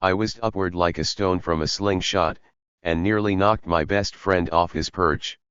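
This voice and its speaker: Deep-voiced male audiobook narrator. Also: steady tone, measured pace, fairly bright tone, smooth, no room sound, dull audio.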